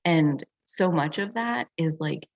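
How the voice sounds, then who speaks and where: A woman's voice: sits low, animated, slow pace. A woman teaching in a casual, reflective way on an online group call, often saying "like" as she explains. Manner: reflective, frequent "like" filler. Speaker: astrology teacher and session facilitator. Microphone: video call. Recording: dull, lo-fi video call audio.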